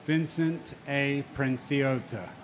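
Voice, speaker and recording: Solemn man, unhurried reading, firm and insistent in his remarks at a memorial ceremony. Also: mid-range voice, slight background noise. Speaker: victim's family member reading names.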